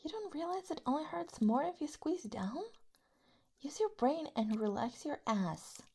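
A woman's voice: lively intonation, a little slow, dark tone. A woman playing a furious, foul-mouthed young woman. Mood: domineering. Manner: profane, snapping outbursts that drop to low whispers. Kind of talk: audio roleplay acting.

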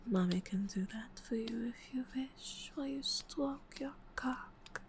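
A woman whispering a teasing, breathy roleplay, slipping in French endearments and soft laughs. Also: on the high side, a little slow, noisy background. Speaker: audio roleplay performer.

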